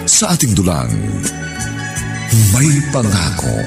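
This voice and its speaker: Isang lalaking tagapagbalita na solemne at madamdaming nagsasalaysay ng dulang panradyo. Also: male voice, low-pitched, huge pitch swings, slow pace. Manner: dramatic, declamatory. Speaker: announcer and narrator. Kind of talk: solemn scripted radio drama narration. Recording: clear music bed under the voice.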